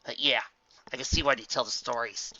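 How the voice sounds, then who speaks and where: An easygoing unicorn musician mare from a storybook, read by a man, sociable and casually polite. The voice is very bright, with a dry, slightly dull sound. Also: mid-range voice, fairly even intonation, a little fast, dry recording. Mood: relaxed, friendly.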